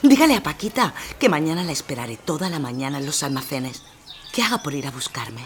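Una mujer con acento andaluz interpretando a una vecina bondadosa y discreta en una ficción sonora. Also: female voice, deep voice, big pitch swings, fast. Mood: kind, confiding. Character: kind, discreet woman serving food to neighbours. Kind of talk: audio drama acting.

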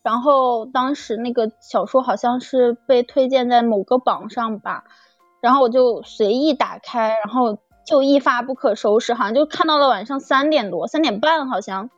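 High-voiced, effusive woman, lo-fi recording. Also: moderate pace, no room sound. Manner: effusive, exclaiming, fangirlish. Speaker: audio drama producer and guest. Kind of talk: animated casual chat. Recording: dull lo-fi audio with music behind.

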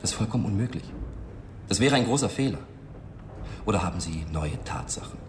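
An actor playing a condescending, self-important male police detective in a radio drama, speaking deliberately. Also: on the low side, animated, natural voice. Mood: condescending, dismissive. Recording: echoey, noisy room recording.

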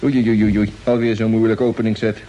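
A man's voice: on the low side, little pitch movement, quick pace, lo-fi sound, close to an everyday voice. An actor playing a chatty, good-humoured spaceship crewman in a radio drama, informal and playful. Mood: mock worried. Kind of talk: Dutch radio drama dialogue. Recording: noisy vintage radio play, cabin ambience.

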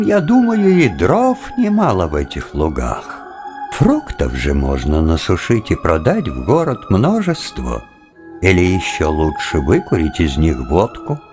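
Wily young seminary philosopher, hugely swinging intonation, unhurried folksy drawl, evasive, audio drama, music underneath. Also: male voice, low-pitched, exaggerated voice. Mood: dreamy, scheming. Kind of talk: theatrical audio drama acting.

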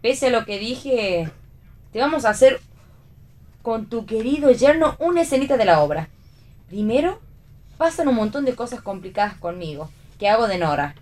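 An actress playing a wry, bossy stage performer who gives dry retorts and directs others in a radio play reading. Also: female voice, fairly high pitch, lively intonation, moderate pace, a little mellow. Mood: wry, explaining. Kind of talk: radio read theatre dialogue.

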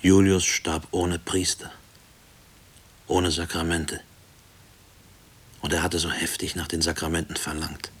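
A brooding middle-aged family man haunted by his time in prison. His voice is very low and husky. He speaks in a hushed, deliberate way, as if thinking aloud in a radio play. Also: little pitch movement, full character voice. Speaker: narrator and lead character of a radio play. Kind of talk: brooding first-person radio drama monologue.

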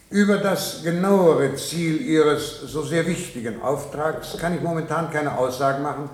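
Actor as formal project director in echoing room. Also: male voice, a little high, close to an everyday voice. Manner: formal, measured, lecturing. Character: authoritative male project director, smoothly evasive, bureaucratic. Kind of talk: 1970s German radio drama acting, formal address. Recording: roomy echo of 1970s radio play.

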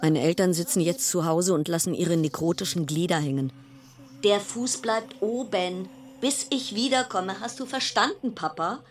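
A woman's voice: lowish voice, normal speed, close to an everyday voice. A woman acting in a radio play as an anxious, sardonic quarantined passenger, delivering a brooding, dramatic interior monologue. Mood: sardonic, weary.

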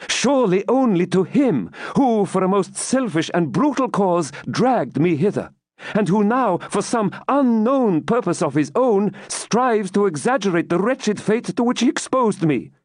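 A man's voice: high-pitched, sing-song, a little slow, darkish tone, no room sound, studio quality, pitched above the performer's own voice, heavily acted. A man voicing a proud, devout young woman captive in an audiobook, with formal, archaic and earnest speech. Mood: indignant. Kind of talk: dramatised audiobook reading.

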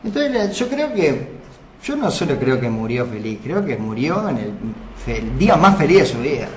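Man voicing a blunt, boastful Uruguayan soldier for a live radio sketch, mid-pitched, roomy studio sound. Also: very animated, moderate pace, a crowd in the background, natural voice. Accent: Uruguayan Spanish. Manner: swaggering, blunt, rambling. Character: boastful crude male soldier brigadista. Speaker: comic guest character.

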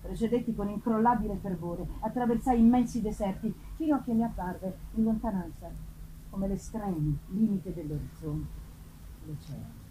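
A woman's voice: mid-range voice, normal speed, close to an everyday voice. A woman reading a gothic novel theatrically as an anguished man bent on revenge, in a solemn manner, on a noisy live recording. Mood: grim, determined. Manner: solemn, theatrical, swinging from hushed to declamatory. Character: anguished male avenger narrating his tragic hunt.

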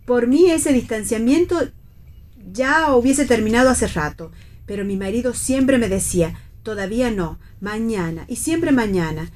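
A woman's voice: a little high, lively intonation, moderate pace, a little mellow, lightly acted. An Argentine woman playing an anxious, conciliatory middle-aged mother who rambles politely in a theatrical radio play reading. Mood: rambling, earnest.

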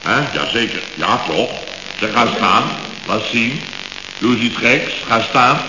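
A man's voice: medium pitch, expressive, unhurried, lightly acted. A man playing a blustering, impatient company boss in a Dutch radio comedy from around 1970, talking in clipped, exclamatory fragments. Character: blustering, impatient male boss of building firm. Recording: echoey, dull, noisy old radio recording.

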